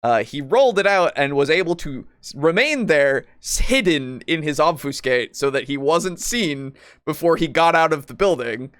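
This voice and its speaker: A man co-hosting a podcast, talking casually about tabletop roleplaying in a halting, rambling way with frequent swearing. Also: a little high, lively intonation, fairly bright tone, clear, resonant tone, no room sound, clean studio sound. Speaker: podcast co-host.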